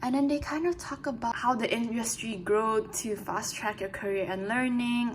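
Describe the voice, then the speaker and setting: A woman's voice: medium pitch, slight background noise, low-quality recording. A young woman vlogging in a casual, chatty way, explaining things with lots of "like" fillers. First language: English. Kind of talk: casual vlog diary.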